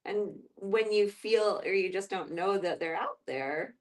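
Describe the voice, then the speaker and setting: A woman's voice: mid-range voice, measured pace. A woman in a casual group discussion, tentatively thinking aloud as she talks.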